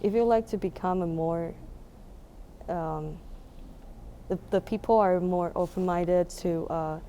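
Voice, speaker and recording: A woman's voice: on the low side, steady tone, unhurried, dark tone, slight background noise. A young woman from Taiwan with a Taiwanese accent, answering interview questions casually and searching for words as she goes. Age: young adult. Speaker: musician being interviewed.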